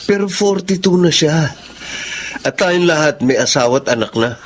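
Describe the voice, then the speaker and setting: A man's voice: fairly high pitch, animated, moderate pace. A man playing an easygoing, playful married younger brother in a radio drama. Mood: defensive. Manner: laid-back, laughing, casual.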